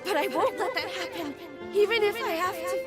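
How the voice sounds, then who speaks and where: A woman playing a gentle, fearful, girlish younger sister in a video game cutscene, pleading and breathlessly emotional. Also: very high-pitched, brisk. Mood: tearful, desperate. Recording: very loud orchestral music over voice. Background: very loud music with violin.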